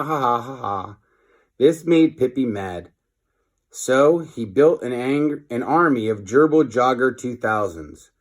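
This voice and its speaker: A man narrating a children's story expressively, like a teacher reading aloud to pupils. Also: medium pitch.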